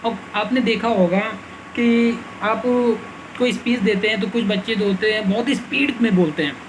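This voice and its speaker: A man's voice: sits high, normal speed, noisy background. A man hosting a casual self-help lesson, often restarting his sentences and apologizing as he explains. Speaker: self-help video host. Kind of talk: casual explanatory talk to camera.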